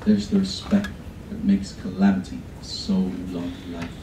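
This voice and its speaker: A young man reciting a classic monologue at a poetry reading contest, solemn and measured, at a podium in a large room. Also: low-pitched, huge pitch swings, vocal fry, dark tone, far from the mic, a little hiss or hum. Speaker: contest reader. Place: large college event room, from far off. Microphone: podium mic, far camera. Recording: echoey room recorded from afar.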